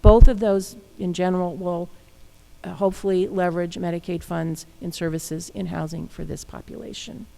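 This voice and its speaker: A woman official giving formal, halting testimony about programs at a public hearing. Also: on the low side, fairly even intonation, gravelly, warm tone, poor audio. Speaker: state housing official. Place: government hearing room.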